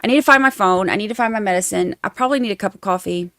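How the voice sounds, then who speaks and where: A woman's voice: medium pitch, very fast, a bit bright, no room sound, clean studio sound. A woman with a Southern Georgia accent hosting a casual livestream, rambling and self-deprecating as she chats to her viewers. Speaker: livestream host covering trials.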